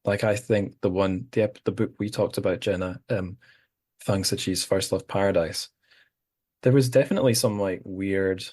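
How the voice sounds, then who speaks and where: A man hosting a podcast, talking in a relaxed way and thinking aloud with fillers. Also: sits low, normal speed. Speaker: podcast host. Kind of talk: casual conversation.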